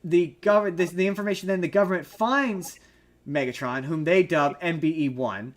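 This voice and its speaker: A man's voice: on the high side, moderate pace. A man co-hosting a podcast, talking casually and opinionatedly about film in an emphatic, rambling, argumentative way. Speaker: podcast co-host.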